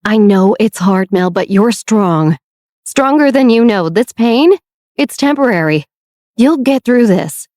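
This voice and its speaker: A woman's voice: mid-range voice, unhurried, a little mellow, dry recording, clean studio sound, natural voice. A woman voicing a guarded older sister who speaks in a firm, clipped, resolute way. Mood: tender.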